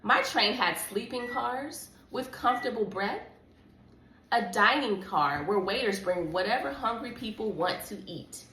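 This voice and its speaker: Pompous male locomotive acted by a woman for a storybook. Scornful, boastful, lively swings in pitch, echoey room. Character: haughty, boastful male passenger train engine. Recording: echoey room with background noise.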